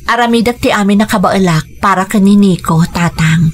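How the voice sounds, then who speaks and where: A woman plays a young sweetheart in a radio drama, earnest, gentle and devoted. Her voice sits at a medium pitch, with music clearly underneath. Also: normal speed, natural voice. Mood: earnest, reassuring. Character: devoted young woman, hopeful future stepmother. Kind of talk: Ilocano radio drama acting.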